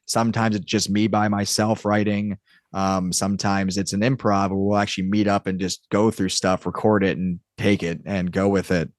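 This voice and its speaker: Male interview guest, lowish pitch, dry sound, casual and rambling explainer. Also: level tone, moderate pace, no echo. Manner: rambling, explanatory, peppered with "like" and "you know". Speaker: podcast guest, audio drama creator and writer.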